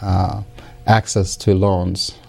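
A low, mellow-voiced man speaking English as a second language, answering interview questions in a measured, halting way. His pitch stays flat and even, and he often pauses to search for words. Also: creaky, soft background music. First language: not English. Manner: many fillers and restarts, careful, measured. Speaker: interview guest. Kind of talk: hesitant conversational interview answers.

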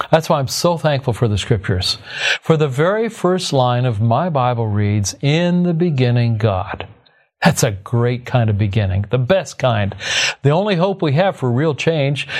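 A man hosting a Bible radio program, opening with a calm reflection and then interviewing a guest in a friendly way. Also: medium pitch. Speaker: radio host.

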